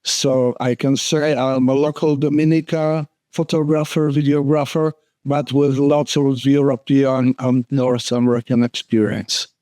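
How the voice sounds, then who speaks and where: An older man with a Polish accent, a guest photographer answering questions in an earnest, unhurried way, often restarting his phrases. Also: mid-range voice, level tone, a little mellow, no room sound. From Poland. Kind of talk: casual interview.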